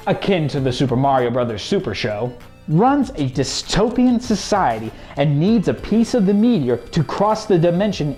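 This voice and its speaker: A man's voice: on the high side, a little mellow. A man co-hosting and narrating a scripted video commentary. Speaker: co-host. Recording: music behind the voice.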